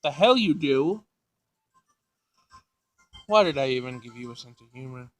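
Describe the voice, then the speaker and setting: A man's voice: medium pitch, expressive, unhurried, natural voice. A man voicing an exasperated, defensive older male professor in a visual novel, casual and grumbling. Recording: music behind the voice.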